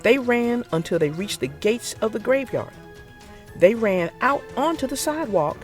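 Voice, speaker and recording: An expressive children's storyteller reads with very lively, swooping intonation. The delivery is theatrical and deliberate, made for young listeners, over faint background music. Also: mid-range voice. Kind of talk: expressive scripted read-aloud for young children.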